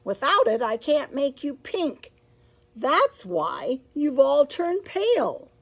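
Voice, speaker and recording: A woman's voice: high-pitched, sing-song, slow pace, pitched above the performer's own voice, exaggerated voice. A woman voicing a cheerful, apologetic illustrator speaking from outside a storybook. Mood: chirpy.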